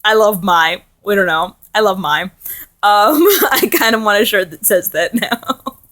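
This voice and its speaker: A young woman from the United States talks straight to her camera like she's writing a letter to a friend. She rambles and loops back with plenty of ums and likes, in a bright, mid-pitched voice. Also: lively intonation, unhurried. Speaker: teacher abroad vlogging. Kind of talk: casual video letter to a friend.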